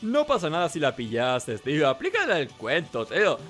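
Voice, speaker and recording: Man playing a slangy, animated young goofball. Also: on the high side, moderate pace, music in the background. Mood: teasing. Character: laid-back, slangy, goofy young man. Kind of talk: video game character voicing.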